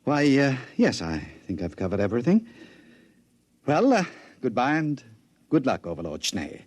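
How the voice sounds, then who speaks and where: An actor playing a pompous, chummy outgoing overlord in a 1950s radio drama, with a speechmaker's manner. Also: male voice, medium pitch, huge pitch swings, moderate pace, darkish tone. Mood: pompous, genial.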